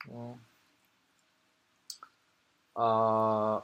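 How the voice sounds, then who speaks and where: Slow, gravelly low-voiced man musing aloud. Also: completely flat delivery, dull audio. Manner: rambling, meandering, musing aloud. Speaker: poetry reader and host. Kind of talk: casual poetry reading and musing. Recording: noticeable background noise, amateur home recording.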